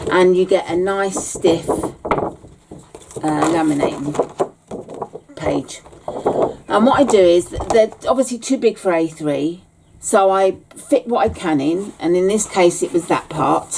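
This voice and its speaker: A woman teaching a relaxed sewing tutorial at home, chatty, with frequent ums and small self-corrections. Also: lowish voice, a little hiss or hum, low-quality recording. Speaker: bag maker teaching a sew-along.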